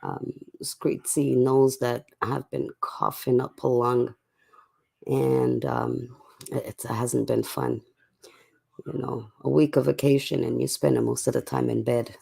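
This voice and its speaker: Gravelly, coughed-out livestreamer with a hoarse voice. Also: medium pitch, expressive, slow, a little mellow. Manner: laid-back, chatty, addressing viewers. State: sick with a cough, voice getting hoarse. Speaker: livestream host. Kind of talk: casual late-night livestream chat.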